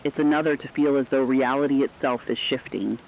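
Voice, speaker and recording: A man's voice: mid-range voice, steady tone, a little fast, creaky, lightly acted. A man playing a solemn, formal news anchor giving emphatic warnings in a scripted emergency radio drama. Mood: solemn, urgent. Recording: dull lo-fi radio sound, rainy noise.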